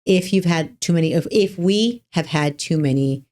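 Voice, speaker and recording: A woman's voice: on the low side, normal speed, dark tone. A woman hosting a casual podcast conversation as a trial attorney, chatty and rambling, full of fillers and restarts.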